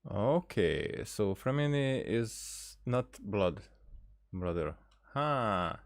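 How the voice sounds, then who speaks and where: A man giving casual gameplay commentary, halting and hesitant, often repeating words as he thinks. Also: fairly low pitch, sing-song, no echo, studio quality. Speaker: gaming streamer, let's player.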